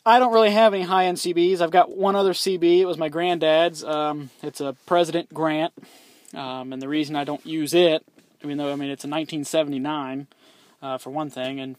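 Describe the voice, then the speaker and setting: A man sits in his parked SUV and rambles through a casual vlog, with loose swearing and plenty of ums and false starts. His voice sits a bit high for a man, and the sound is dry and close, with no room echo. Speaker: vlogger reviewing his CB radio.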